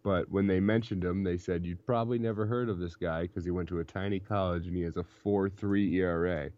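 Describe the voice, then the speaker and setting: A man's voice: deep voice, steady tone, a little fast, darkish tone. A young man co-hosting a casual sports podcast, chatting and laughing often between points. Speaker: podcast co-host. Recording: dull, dry lo-fi recording.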